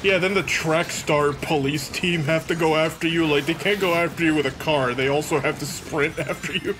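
A man's voice: fairly high pitch, normal speed, noisy background. A man giving casual video game commentary as a let's player, joking sarcastically and acting out mock dialogue. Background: video game music.

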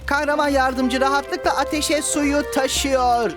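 A woman voicing a boastful, clumsy, excitable crow in a children's cartoon, talking pompously like a theatrical superhero. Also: sits high, unhurried, over music. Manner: pompous self-narration in third person, theatrical.

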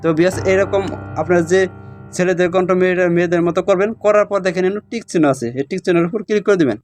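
Male tutorial host, slightly high voice, brisk delivery, repetitive step-by-step guidance, guitar music behind. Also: fairly even intonation, darkish tone. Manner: repetitive, keeps checking the viewer follows. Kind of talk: step-by-step screen tutorial narration. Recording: voice over plucked guitar music. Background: plucked guitar music.

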